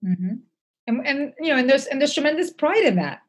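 A woman's voice: high voice, big pitch swings, a little fast. A woman speaking as an interview guest, conversational, reflective and earnest, with emphasis on her points.

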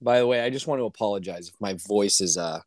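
A man co-hosting a casual sports podcast, hoarse and ranting with exasperation, his voice climbing when worked up. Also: on the low side, fast talker, no room sound, clean studio sound. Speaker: podcast co-host.

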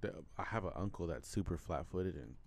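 A man's voice: deep voice, lively intonation, a little fast, darkish tone. A man co-hosting a podcast, casually explaining things in an easygoing conversational way. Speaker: podcast co-host.

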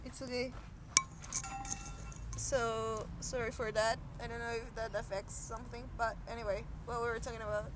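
A woman's voice: a little high, little pitch movement, moderate pace, noisy background. A woman co-hosting a podcast, chatting casually and informally with a friend, with some swearing. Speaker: podcast co-host. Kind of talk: casual chat between friends.

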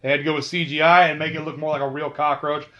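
Male host, chatty trivia commentary, a bit high-pitched, dull lo-fi recording. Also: little pitch movement, fast talker, fairly bright tone. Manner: chatty, with asides and self-corrections. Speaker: video host. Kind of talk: casual film trivia commentary.

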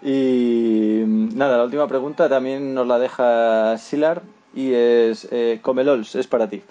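A man's voice: medium pitch, fairly even intonation, measured pace, mellow, highs cut off. A man with a Spanish accent hosting an unscripted panel interview on a podcast. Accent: Spanish (Spain). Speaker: podcast host.

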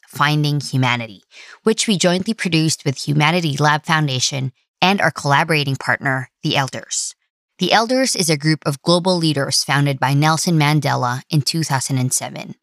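A woman podcast host reads a prepared announcement in a low-pitched voice. The recording is dry and close, with very little room sound. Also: a bit bright, no room sound. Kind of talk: scripted announcement read.

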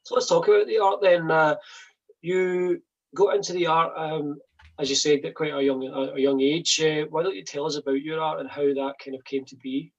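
A man co-hosting a casual show, easygoing and asking relaxed questions. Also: on the high side, moderate pace, highs cut off. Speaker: co-host.

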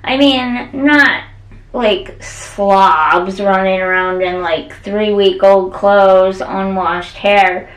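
A woman co-hosting a podcast who speaks slowly and agreeably, backing up her partner. She sits at a distance from the mic in an echoey room. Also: medium pitch, fairly even intonation, a bit bright. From central Wisconsin. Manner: unhurried, agreeable, backs up her co-host. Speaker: podcast co-host. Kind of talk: casual chat. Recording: roomy sound, mic at a distance.

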